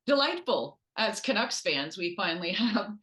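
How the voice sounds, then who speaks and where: A woman chatting casually about sports as a podcast guest, excitable and joking as she tells stories. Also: mid-range voice, moderate pace, slight background noise. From Canada. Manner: excitable, animated storytelling, joking.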